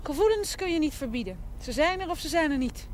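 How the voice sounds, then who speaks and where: An actress playing a self-assured, sharp-tongued young woman in a television period drama, answering in brisk, pointed retorts. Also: sits high, animated. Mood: cool, matter-of-fact. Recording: noisy old television drama soundtrack.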